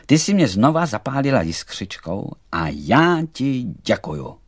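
A man voicing a small, merry, excitable male firefly light in a storybook, chatty and exclamatory. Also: medium pitch, no room sound, clean studio sound. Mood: merry, grateful.

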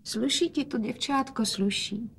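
A woman reader acts out an ailing, wrinkled father from a literary story. He sounds weary and wistful, speaking tenderly and quietly. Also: fairly high pitch.

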